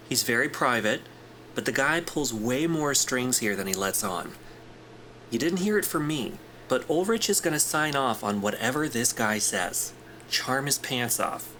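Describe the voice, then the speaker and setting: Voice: medium pitch, bright, forceful tone, slight background noise, low-quality recording. An actor playing a glib, friendly corporate clerk in a sci-fi audio drama, breezy, wry and casual in a naturalistic style. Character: glib, teasing, friendly corporate clerk smoothing things over.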